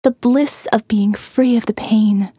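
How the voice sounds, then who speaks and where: A woman narrating a first-person horror audiobook, slow and hushed, often dropping to a whisper. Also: on the high side, steady tone, breathy edge, no echo, clean studio sound.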